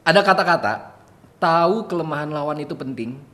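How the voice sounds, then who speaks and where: A man's voice: fairly high pitch, lively intonation, brisk, bright, forceful tone. A man hosting a casual interview with a quiz game, playful and laughing often. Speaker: host.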